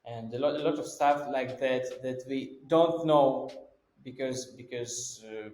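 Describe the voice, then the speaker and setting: A man's voice: medium pitch, a little mellow. Hesitant Russian-accented man in a roomy room. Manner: halting, full of fillers and restarts. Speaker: guest. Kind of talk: casual conversation. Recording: roomy room with faint background noise.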